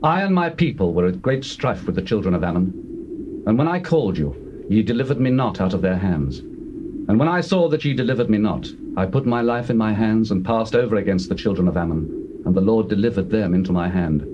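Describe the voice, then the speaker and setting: An actor playing a proud, solemn Old Testament war leader in a measured, declamatory scripture drama. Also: male voice, fairly low pitch, animated, lightly acted. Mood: defiant. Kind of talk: dramatized scripture reading, classical stage delivery. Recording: muffled old cassette, clear hiss.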